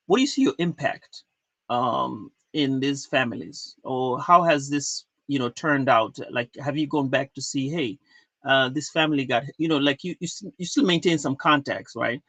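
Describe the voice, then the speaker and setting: Male podcast host; husky, dry close sound, casual questioning. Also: medium pitch, expressive, measured pace, fairly bright tone, no echo. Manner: loose, conversational questioning. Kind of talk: interview conversation.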